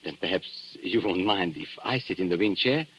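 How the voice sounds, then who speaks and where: An actor in a 1940s radio drama playing a suave, mocking, menacing antique dealer who speaks with formal, deliberate politeness. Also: male voice, deep voice, a bit bright, a little hiss or hum. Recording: muffled vintage 1940s radio recording.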